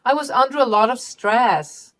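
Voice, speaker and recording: A woman voicing a self-pitying young adult sister who pleads and defends herself in a high, strained voice. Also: sing-song, takes it slowly. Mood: pleading.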